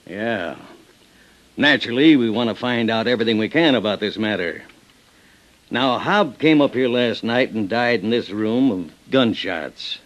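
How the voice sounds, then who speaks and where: Folksy, menacing sheriff, muffled recording. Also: male voice, fairly low pitch, expressive, measured pace, fairly bright tone. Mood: wary, needling. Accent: American. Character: folksy, sly, menacing small-town male sheriff. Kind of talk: old-time radio drama acting. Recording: noisy, dull old radio recording, clicks.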